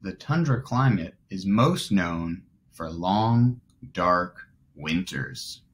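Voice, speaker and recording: Slow-paced young man reading to children. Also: low-pitched, animated. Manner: slow, deliberate, explanatory, stressing key words. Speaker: first grade teacher. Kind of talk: children's read-aloud with explanations.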